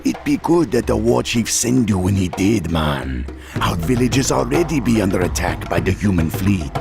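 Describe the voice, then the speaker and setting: An actor playing a wily troll tribe chieftain in a fantasy game, with a gravelly Caribbean accent and a drawling, commanding manner. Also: male voice, on the low side, very animated, moderate pace. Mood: urgent, grave. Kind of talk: fantasy game character acting. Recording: music under the voice.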